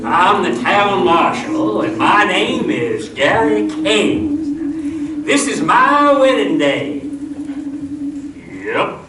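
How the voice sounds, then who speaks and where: Man acting a folksy cowboy marshal, deadpan, slow, swooping high pitch, radio comedy. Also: over loud music, full character voice. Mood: proud, folksy. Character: folksy old-West town marshal, drawling bridegroom. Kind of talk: old-time radio comedy sketch acting. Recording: echoey hall, distant mic, noisy.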